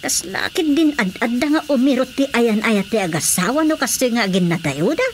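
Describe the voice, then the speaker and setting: A woman's voice: fairly high pitch, expressive, moderate pace, slight background noise, natural voice. An actress playing a chatty, wistful older mother with a Filipino accent in a radio drama comedy. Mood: coy.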